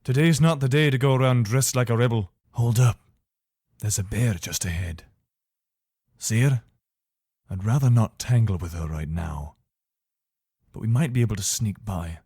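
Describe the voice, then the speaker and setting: A man's voice: fairly low pitch, normal speed, long pauses, a little mellow, husky, no room sound, clean studio sound. A man playing a dutiful, weary but decent imperial soldier in a fantasy video game, speaking earnestly in short, commanding phrases. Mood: wary, practical. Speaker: voice actor for a game mod.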